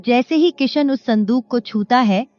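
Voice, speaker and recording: A woman's voice: fairly high pitch, unhurried, a little mellow. A woman reading scripted storytelling narration as the narrator. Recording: dull lo-fi audio. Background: music.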